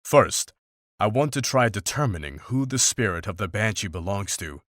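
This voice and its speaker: A man playing a stern, pragmatic older monster hunter in a fantasy visual novel, speaking in a formal, measured, unsentimental way. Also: fairly low pitch, lively intonation, fairly bright tone, dry recording, clean studio sound. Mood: measured, instructive.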